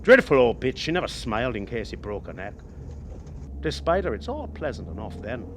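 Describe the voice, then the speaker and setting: An actor playing a haunted former cab driver telling a grim memory in a radio play, halting and emotive. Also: male voice, medium pitch, sing-song, background noise, close to an everyday voice. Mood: bitter, reminiscing. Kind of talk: dramatic radio play monologue.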